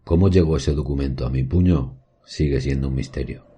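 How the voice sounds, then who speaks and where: A very deep, dark actor's voice of a haunted male professor tells a horror story. The delivery is solemn and measured, with literary phrasing. Faint music plays underneath. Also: slightly rough. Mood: sombre recollection. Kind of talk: scripted horror narration.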